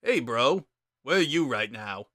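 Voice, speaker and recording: Laid-back skeleton acted by a man, wry, deadpan, casual, offhand storybook character, close dry recording. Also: mid-range voice, unhurried, no room sound. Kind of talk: dramatic reading of a fan story.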